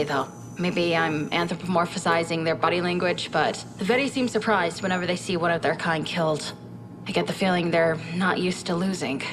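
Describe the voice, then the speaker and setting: A woman's voice: fairly low pitch, background noise, close to an everyday voice. A woman playing an earnest, candid scientist who briefs a military commander in a video game cutscene, speaking in a thoughtful, conversational way. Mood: analytical, intrigued. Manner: conversational, thoughtful, with reflective asides. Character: earnest female scientist advisor, candid, inquisitive and troubled.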